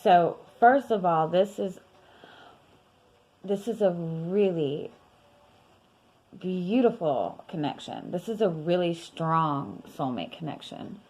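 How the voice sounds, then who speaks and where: Tarot reader, woman, young adult, low voice going hoarse, rambling and casual, lots of fillers. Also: expressive, takes it slowly, long pauses. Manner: chatty, rambling, many fillers and soft laughs. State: losing her voice. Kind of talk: casual tarot reading vlog.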